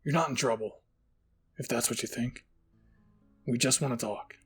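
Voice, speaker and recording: A man voicing a stern, gruff male military commander in an anime story, curt and commanding. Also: mid-range voice, normal speed, a bit bright, soft background music. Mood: calm, matter-of-fact.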